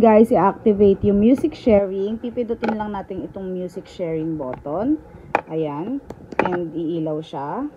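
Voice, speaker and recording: A Filipino woman presents an informal hands-on tutorial, switching between Tagalog and English as she speaks straight to viewers. Her voice sits at an average female pitch. The recording carries noticeable background noise. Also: lo-fi sound. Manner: informal, addresses viewers directly. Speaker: product tutorial vlogger. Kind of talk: casual hands-on product tutorial in Taglish.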